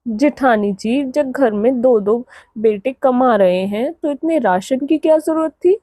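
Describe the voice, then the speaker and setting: A woman's voice: fairly high pitch, unhurried, dark tone, no echo, studio quality. A woman voicing a haughty, boastful young daughter-in-law in a cartoon moral story, with a taunting, condescending manner. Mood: mocking. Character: haughty young city daughter-in-law flaunting her dowry.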